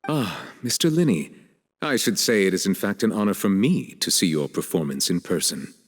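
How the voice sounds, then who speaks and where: A deep, formal chief justice. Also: male voice, sing-song. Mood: courteous. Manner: formal, courteous, measured. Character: stately male chief justice, formal and polite. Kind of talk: video game cutscene dub. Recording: long reverb.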